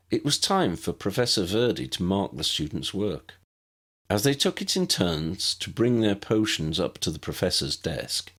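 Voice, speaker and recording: A man reads scripted fiction as an audiobook narrator. His voice is low and husky, with highly animated, expressive phrasing that rises and falls widely. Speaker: author narrating own fan fiction audiobook.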